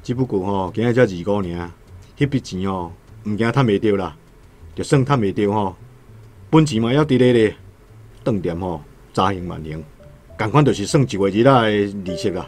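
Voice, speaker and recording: A man's voice: lowish voice, animated, normal speed, darkish tone, faint music behind. An actor playing a humble, honest, poor vegetable seller and father in a radio drama, colloquial and deferential, with a Taiwanese accent. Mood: earnest.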